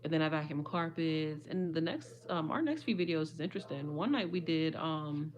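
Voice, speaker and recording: A woman, her voice slightly hoarse, chatting casually and rambling to viewers on a livestream. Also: low voice, animated, normal speed. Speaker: vlogger.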